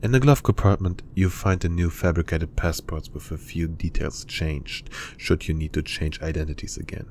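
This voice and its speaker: A man as a deep-voiced spy chauffeur. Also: fairly even intonation, moderate pace, few pauses. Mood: businesslike. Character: businesslike male spy agent disguised as chauffeur. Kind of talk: cinematic audio roleplay. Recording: clear ambient noise behind voice.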